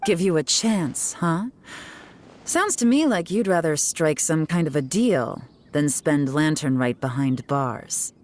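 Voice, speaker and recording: A woman's voice: deep voice, expressive, measured pace, a little mellow, slight background noise. A woman voicing a stern, self-assured female investigator in a video game, commanding, composed and wry. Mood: firm, wry. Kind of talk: dramatic video game voice acting.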